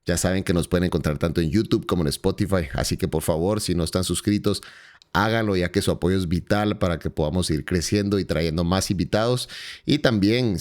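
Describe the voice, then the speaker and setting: A man's voice: sits low, a little mellow. A male podcast host, talking in a friendly, wordy way that often repeats words as he interviews a guest.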